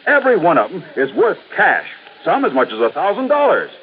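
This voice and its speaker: An actor playing an eager, folksy car owner in a dramatized vintage radio commercial. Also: male voice, high voice, huge pitch swings, fairly quick, narrow, tinny audio, exaggerated voice. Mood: excited. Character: excitable everyday male car owner in advertisement. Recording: thin, hissy old radio recording.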